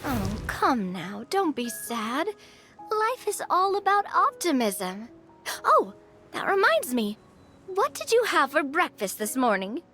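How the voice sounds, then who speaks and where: A woman's voice: high voice, huge pitch swings, takes it slowly, bright, forceful tone, soft background music, full character voice. An actress playing a cheerful, optimistic young woman adventurer with a sunny, chatty, earnest manner. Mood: bubbly, encouraging.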